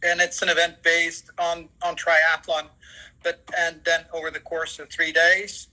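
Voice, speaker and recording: A man in his fifties from Portugal speaks English with a Portuguese accent. His voice is very bright and slightly high, with a fairly flat intonation. He answers as an interview guest, picking his words deliberately with halting pauses. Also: low-quality recording. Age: 50s. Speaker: guest, sports events general manager.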